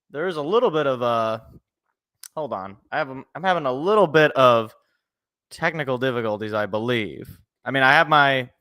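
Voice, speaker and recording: American male sports host, bright but congested and a little raspy, rambling and jokey. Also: medium pitch. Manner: rambling, jokey, repeats phrases for emphasis. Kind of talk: casual solo sports talk. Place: indoors at home.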